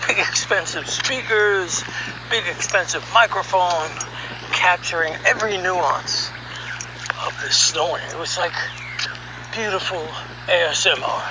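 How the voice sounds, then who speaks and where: Male storyteller, high voice, conversational narration, wry and musing, emphatic pauses, clearly noisy background. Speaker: storyteller and voice session director.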